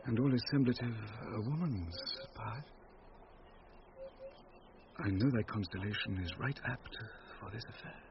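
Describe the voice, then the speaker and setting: An actor playing a lovesick, commanding noble lord, speaking in romantic, declamatory Shakespearean radio drama style. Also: male voice, lowish voice, unhurried, pauses often, close to an everyday voice. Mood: tender, ardent. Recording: dull recording with noisy ambience.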